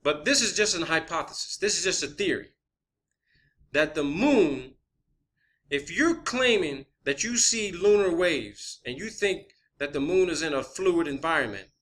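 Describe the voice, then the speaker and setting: Forceful, sharp-voiced man arguing his theory. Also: a little high, animated, measured pace. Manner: emphatic, combative, heavy swearing. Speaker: video host presenting own theory. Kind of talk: informal opinion monologue.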